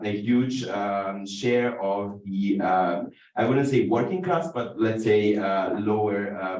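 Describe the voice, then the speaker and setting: A man from Italy, speaking as a conference panelist in a measured way with frequent fillers. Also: on the low side, a little mellow. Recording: echoey room, distant mic.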